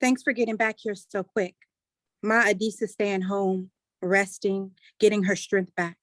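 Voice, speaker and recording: A woman's voice: medium pitch, no room sound, close to an everyday voice. A woman playing a dutiful grown daughter who steps up to direct, in a staged play reading. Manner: earnest, organizing.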